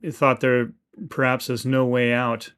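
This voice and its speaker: Guy hosting a podcast, mid-pitched voice, rambly earnest questions. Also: little pitch movement, unhurried, a bit bright, smooth. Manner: earnest, wordy questions with restarts. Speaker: podcast host. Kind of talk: interview hosting.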